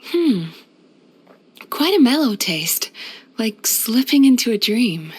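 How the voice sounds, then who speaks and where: A woman playing a gentle, caring female psychologist in a video game, speaking in a soothing, thoughtful, measured and softly playful manner. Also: a little high, very animated, slightly rough, heavily acted. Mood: pleased, serene. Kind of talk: scripted video game character voice lines.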